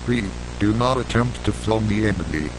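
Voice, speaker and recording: A man's voice: on the low side, normal speed. A man delivering a scripted emergency broadcast announcement in a stern, formal, authoritative way. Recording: noisy hum and hiss, retro broadcast.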